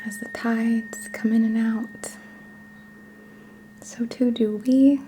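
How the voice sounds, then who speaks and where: A woman softly whispers a reiki ASMR meditation, very slowly and with long pauses. Her breathy delivery stays gentle, soothing and level in pitch. Loud background noise and ringing singing bowl tones surround her voice. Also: steady tone. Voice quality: soft, breathy, mostly whispered. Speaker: reiki ASMR practitioner leading a healing session. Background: tuning forks and singing bowl tones.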